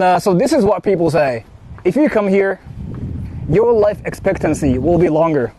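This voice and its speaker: A man's voice: a little high, a little mellow, low-quality recording. A young man with a Japanese accent, chatting casually and jokingly on a live stream from outdoors, rambling with lots of fillers and repeated words. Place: outdoors in wind. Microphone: phone on a live stream. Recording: wind noise on a phone mic.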